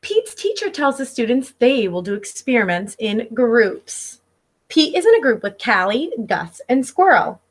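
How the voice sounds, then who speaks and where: A woman's voice: a little high, big pitch swings, a little slow. A woman in her thirties reading a picture book aloud as a teacher, expressively and instructively, speaking directly to young listeners. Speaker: elementary school teacher. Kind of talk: read-aloud storytelling for children. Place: living room at home.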